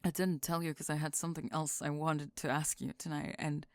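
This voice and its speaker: Woman playing a low-voiced deadpan goth girl. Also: no room sound. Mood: nervous, earnest. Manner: halting, dry, understated. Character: deadpan young goth woman, guarded, tender underneath. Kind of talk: intimate ASMR audio roleplay.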